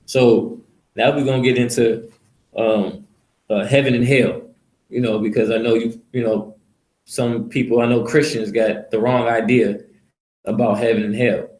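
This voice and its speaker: A man's voice: mid-range voice, little pitch movement, measured pace, a little mellow. Blunt rambling man in an echoey room. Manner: blunt, rambling, profane, repeats "you know". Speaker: street preacher leading a teaching session. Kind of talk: casual preaching to a small group.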